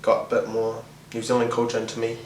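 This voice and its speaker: Relaxed man from Tonga, echoey and distant. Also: medium pitch, fast talker. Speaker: interviewee. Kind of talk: casual interview talk. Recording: echoey and distant, with music underneath.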